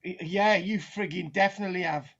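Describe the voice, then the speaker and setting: A woman's voice: lowish voice, a little fast, fairly bright tone, lo-fi sound. A woman hosting a casual group chat. She teases the others and often restarts her sentences. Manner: stammering restarts, teasing, chatty.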